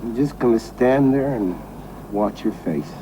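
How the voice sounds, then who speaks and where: An actor playing a boastful, sentimental and tipsy American gambler in a television drama, rambling and repeating himself with emphasis. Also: male voice, mid-range voice, a little slow, lightly acted. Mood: dreamy, sentimental. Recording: noisy old television drama soundtrack.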